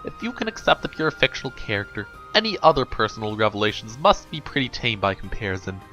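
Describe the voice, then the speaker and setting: A man voicing a caring young girl in a storybook reading, chatty in a hushed, casual way. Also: lowish voice, big pitch swings, fast talker, soft background music, voice pushed down. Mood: gentle concern.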